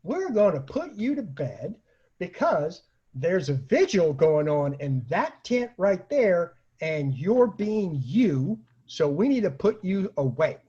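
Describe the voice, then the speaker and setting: A man tells funny personal stories in a loose, conversational style. His voice sits high and swings widely in pitch, with a rough husky grain. He acts out quoted remarks as he goes, and the homemade recording sounds a little dull. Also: slow pace. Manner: animated, acts out quoted lines, laughs at own stories. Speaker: storyteller, online show host. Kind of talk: casual comic anecdote telling.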